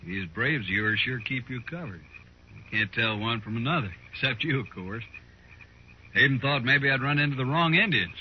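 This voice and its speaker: A man's voice: mid-range voice, expressive, moderate pace, lightly acted. A man playing a blustering, nervous frontier crook in a 1950s radio western, with a folksy, pushy way of talking. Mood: wheedling, indignant. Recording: muffled, noisy old radio recording.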